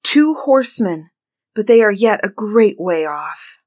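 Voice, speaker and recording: A woman voicing an anxious, watchful sister in a fairy tale, reporting in a measured, plaintive manner. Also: mid-range voice, expressive, a little mellow. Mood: cautious hope. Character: anxious sister Anne, watchful lookout on tower. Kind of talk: dramatized fairy-tale storybook reading.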